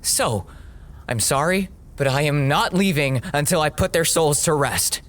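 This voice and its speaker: Man playing an animated, earnest young college nerd. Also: on the high side, a little slow. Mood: earnest, resolute. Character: earnest nerdy young male college student, defiant. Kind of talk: audio drama acting.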